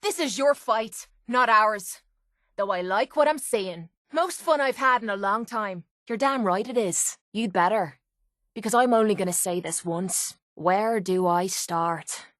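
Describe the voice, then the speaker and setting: Game companion role, female actor: young Irish mercenary woman, tough, brash and foul-mouthed, mid-pitched voice, close dry studio sound. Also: a little slow, a bit bright, dry recording, close to an everyday voice. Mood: sarcastic. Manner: blunt, cocky, slangy. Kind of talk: video game companion voice lines.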